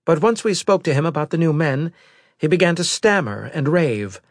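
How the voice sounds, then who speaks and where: A man voicing a brisk, formal female military intelligence officer giving precise reports in an audiobook. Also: a little high, very animated, no room sound, studio quality, voice pushed up.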